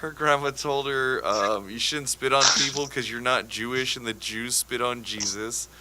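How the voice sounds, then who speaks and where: A man's voice: medium pitch, normal speed, very noisy. A man co-hosting a podcast, teasing his co-host with dry jokes in casual chat.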